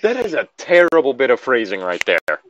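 A man's voice: mid-range voice, wildly swooping pitch, brisk, a bit bright, slight background noise. A man reading a story aloud and bantering casually about it as he goes. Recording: dull lo-fi microphone.

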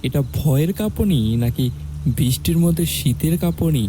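A young man narrating a horror story in a steady, measured way. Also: fairly high pitch, music in the background.

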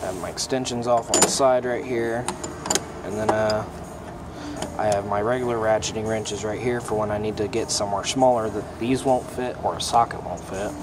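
A young mechanic casually showing his toolbox, talking in a relaxed, plainspoken way with plenty of ums and uhs. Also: fairly low pitch, normal speed. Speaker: mechanic giving a toolbox tour. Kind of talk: casual show-and-tell vlog. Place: auto repair shop, indoors. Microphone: phone held in hand, filming first-person. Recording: shop noise with air conditioning hum.

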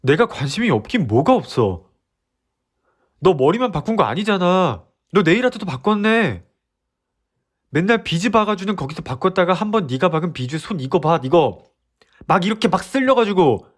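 An actor playing an earnest young boyfriend who is clumsy with words, speaking straight to his girlfriend and pleading with her softly. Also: on the low side, lively intonation, measured pace, pauses often. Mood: exasperated. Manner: pleading softly, then ranting in a rush. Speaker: boyfriend in a role-play drama. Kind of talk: listener-directed role-play drama.

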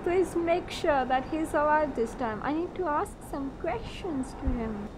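Woman voicing a very high-pitched, excitable alien. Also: a little slow. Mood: frantic. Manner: repetitive, pleading. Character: excitable alien abductor posing as a medic. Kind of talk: online role-play game chatter. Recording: clearly noisy game voice chat.

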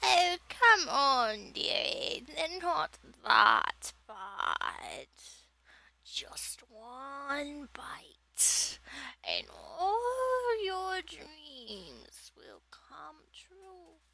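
A wicked old fairy-tale witch. She coaxes slowly with sinister sweetness, drawing out her offers in a storybook style. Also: sits high, wildly swooping pitch, creaky, bright, forceful tone, exaggerated voice, low-quality recording. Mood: wheedling, sinister. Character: wicked old witch coaxing someone with an apple.

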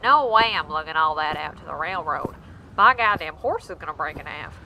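A woman's voice: sits low, lively intonation, a little fast, bright, forceful tone, slight background noise, heavily acted. A woman playing a nervous male outlaw henchman who pleads frantically in a western audio drama. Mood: grumbling. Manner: stammering, frantic.

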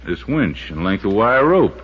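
An actor playing a folksy, practical, easygoing fishing buddy in a 1950s radio drama. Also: male voice, fairly low pitch, very animated, a little slow, husky, exaggerated voice. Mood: matter-of-fact, wary. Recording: dull, very noisy 1950s radio recording.

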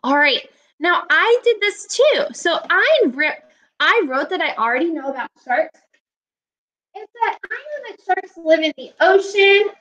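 Woman, 20s, primary teacher on webcam. High-pitched, forceful delivery, clear instructions and encouragement aimed at young pupils. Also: low-quality recording. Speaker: elementary school teacher. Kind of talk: children's read-aloud video lesson, instructional. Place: small indoor room at home. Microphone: webcam or laptop microphone, video call recording.

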